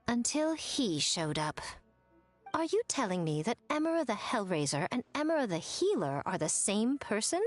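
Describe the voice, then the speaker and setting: An elegant, teasing shrine lady in a game. Her voice is on the high side and swings expressively, with a playful, knowing poise. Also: very animated, moderate pace, no echo, soft background music. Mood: dismayed. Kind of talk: animated video game voice acting.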